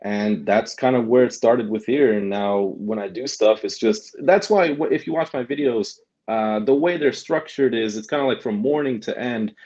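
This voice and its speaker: A man's voice: lowish voice, warm tone, muffled recording. A young man talking casually as a guest, rambling with many restarts and tags like "right?". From Germany. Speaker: guest, delivery driver and vlogger. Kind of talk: casual interview chat.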